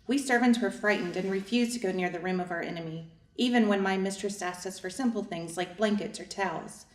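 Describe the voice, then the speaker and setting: A woman's voice: mid-range voice, fairly quick, close to an everyday voice. Woman acting a servant, echoey and distant. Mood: narrating. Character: stubborn, fearful Japanese servant woman telling the tale. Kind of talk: staged readers' theater acting and narration. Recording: echoey room, distant microphone.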